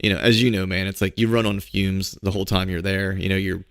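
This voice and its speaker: Hoarse, gravelly low-voiced man hosting. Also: little pitch movement, fast, no room sound, studio quality. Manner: laid-back, rambling storyteller with filler words. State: hoarse from a cold. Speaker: podcast host. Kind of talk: casual podcast chat.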